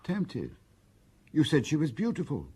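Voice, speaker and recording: A man's voice: fairly high pitch, huge pitch swings, measured pace, a little mellow, breathy edge, full character voice. An actor playing a detective's sympathetic, inquisitive male confidant in a radio drama. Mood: curious.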